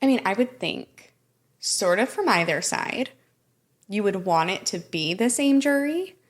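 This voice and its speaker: A woman's voice: medium pitch, lively intonation, measured pace. An American woman co-hosting in casual chat, informal, reacting expressively and acting out imagined quotes. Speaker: podcast co-host.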